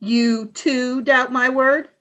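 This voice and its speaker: A woman's voice: high voice, steady tone, slow pace, a bit bright, dull audio. A woman playing a curt, cold alien leader. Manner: clipped, curt questions and statements. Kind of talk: amateur radio play acting.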